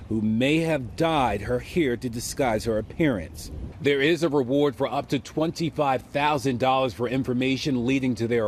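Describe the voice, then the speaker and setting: A man's voice: mid-range voice, a little mellow, a little hiss or hum. A man narrating a scripted television news report as a correspondent.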